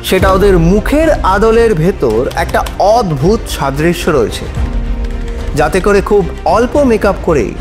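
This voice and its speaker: A man playing an earnest advocate arguing deliberately and respectfully in court, in an audio drama. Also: high-pitched, sing-song. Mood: grave, revealing. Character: Aniket, earnest male advocate arguing a trafficking case in court. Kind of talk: Bengali audio drama acting. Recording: dramatic music under the voice.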